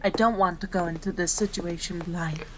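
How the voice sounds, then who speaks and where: A woman's voice: on the low side, fairly even intonation, normal speed, noisy background, close to an everyday voice. A woman playing a cute, caring fox-spirit doctor in an ASMR roleplay, prim, chiding and easily flustered. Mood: serious, concerned.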